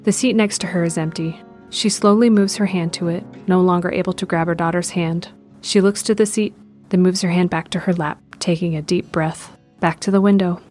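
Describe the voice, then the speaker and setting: Low-pitched woman narrating an audio drama. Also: steady tone, brisk, a little mellow, faint music behind. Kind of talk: scripted present-tense drama narration.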